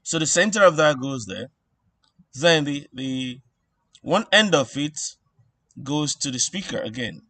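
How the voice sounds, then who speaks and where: A man giving an informal electronics tutorial, thinking aloud in a halting way and repeating phrases as he works things out. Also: fairly high pitch, lively intonation, pushed and bright, dry recording. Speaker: instructor.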